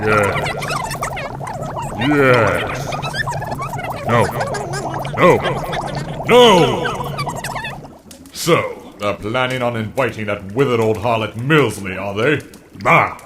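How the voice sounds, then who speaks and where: A man's voice: on the low side, very animated, drawn-out words, long pauses, exaggerated voice. A man playing a bitter, scheming old wizard in an audio drama, theatrical and gloating. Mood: scornful scheming. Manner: theatrical incantations, muttering and gloating. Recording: noisy hobby recording.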